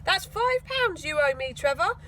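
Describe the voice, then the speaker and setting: Woman as a strict mother, high-pitched, firm, scolding, storybook character, faint background noise. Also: big pitch swings, moderate pace, bright, forceful tone, exaggerated voice. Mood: cross. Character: displeased, strict mother of a boy.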